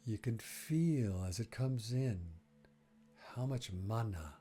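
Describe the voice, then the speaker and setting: Slow, low-voiced man guiding meditation. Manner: gentle, unhurried, short phrases with long pauses. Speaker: meditation guide. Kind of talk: guided breath meditation.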